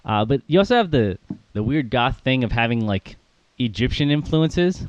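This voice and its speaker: A man's voice: mid-range voice, expressive, normal speed, highs cut off. A man co-hosting a casual podcast about music, rambling with plenty of fillers and little laughs. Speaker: podcast co-host.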